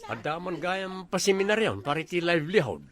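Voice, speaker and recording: A man's voice: high-pitched, big pitch swings, a little fast, fairly bright tone. A man with an Ilocano accent playing a teasing, nagging village husband in a comedy drama. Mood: casual insistence.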